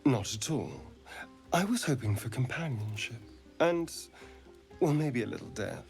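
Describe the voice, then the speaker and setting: A man's voice: mid-range voice, big pitch swings, measured pace, gravelly, a little mellow. An actor playing a vain, flirtatious, theatrical man in a fantasy game, with an arch, drawling delivery. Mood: teasing, dismissive. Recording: music behind the voice.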